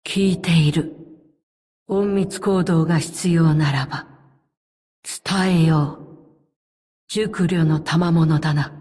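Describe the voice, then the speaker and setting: A woman voicing a calm, stoic, loyal elite operative in a game, speaking in formal, measured, terse lines. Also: sits low, husky, in a large hall, full character voice. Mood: commanding. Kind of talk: scripted game character voice lines.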